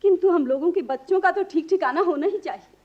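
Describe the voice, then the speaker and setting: A woman's voice: extremely high pitch, brisk. An actress playing an anxious mother in a radio play, reproachful and pleading about her children. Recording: dull lo-fi archive radio recording.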